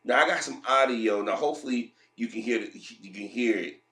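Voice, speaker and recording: A man's voice: medium pitch, a little fast, bright, forceful tone. Man with a head cold, echoey room. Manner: emphatic, repeats himself, fillers and restarts. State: getting over a head cold. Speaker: commentary vlogger. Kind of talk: opinionated commentary.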